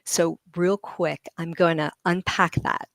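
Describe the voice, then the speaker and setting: A middle-aged woman speaking as a leadership guest on a livestream panel, animated and laughing often as she explains ideas with vivid comparisons. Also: lowish voice, unhurried, creaky, dry recording.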